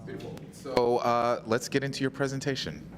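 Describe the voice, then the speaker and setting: A man hosting a live award ceremony on a conference stage, chatty and encouraging, filling pauses while the tech gets set up. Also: medium pitch, level tone. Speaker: host of hackathon award ceremony. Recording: noisy live event audio.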